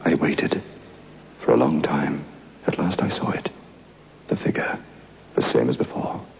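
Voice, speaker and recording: An actor playing a solemn, haunted man who recounts a ghost story, deliberately and dramatically, in an old radio horror play. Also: a bass voice, animated, gravelly, slight background noise, exaggerated voice. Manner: deliberate, dramatic, clipped phrases. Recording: muffled old radio recording.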